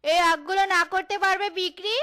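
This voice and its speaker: A woman's voice: extremely high pitch, steady tone, fairly quick. A woman voicing a questioning, scolding tigress, a farmer's wife, in an exclamatory cartoon style. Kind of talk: cartoon voice acting. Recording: loud music behind the voice.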